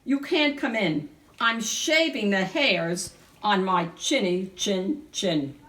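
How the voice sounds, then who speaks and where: A woman voicing a rude little male pig from a picture book, curt, loud and dismissive. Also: mid-range voice, slow pace. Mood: annoyed, dismissive. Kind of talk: animated picture-book character reading. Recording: roomy echo, distant microphone.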